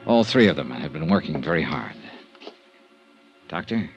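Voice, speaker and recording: A man's voice: rumbling low, animated, normal speed, highs cut off, music in the background. An actor playing a hardboiled, concerned private investigator who narrates his case in 1950s radio drama style. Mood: urgent concern. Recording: vintage radio drama recording.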